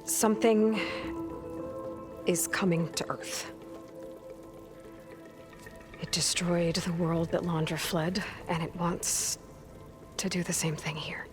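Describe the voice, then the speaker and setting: An earnest young hero of a cinematic game in a slightly low register. She is compassionate and steadfast, speaking softly with hesitant pauses and near-whispered drops. Music plays clearly behind her. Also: steady tone. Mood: grave, explanatory. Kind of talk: naturalistic cinematic video game acting. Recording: music under the voice.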